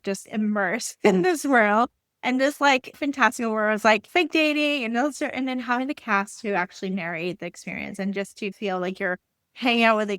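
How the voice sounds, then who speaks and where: A woman's voice: medium pitch, a bit bright, clear, resonant tone, no room sound, clean studio sound. A woman hosting a casual, chatty book interview in an enthusiastic, gushing way. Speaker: podcast host interviewing an author.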